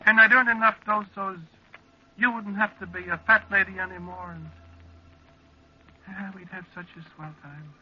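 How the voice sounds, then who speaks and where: A man's voice: sits high, steady tone, normal speed, long pauses, slight background noise, lightly acted. An actor in an old-time radio drama playing a wistful, love-struck little carnival man who stammers and talks in folksy slang. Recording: dull, muffled old radio recording.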